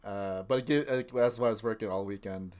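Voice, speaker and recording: A man's voice: on the low side, brisk, a little mellow, muffled recording. A man co-hosting a casual podcast, talking hesitantly with fillers. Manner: hesitant, many fillers and restarts. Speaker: podcast co-host.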